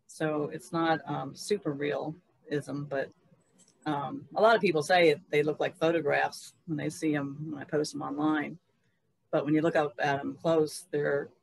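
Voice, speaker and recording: A middle-aged woman describes her paintings in a casual way. Her voice has a marked fry to it, and she speaks as an artist on a video call. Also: low-pitched, low-quality recording. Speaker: painter showing her work. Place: indoor studio room on a video call.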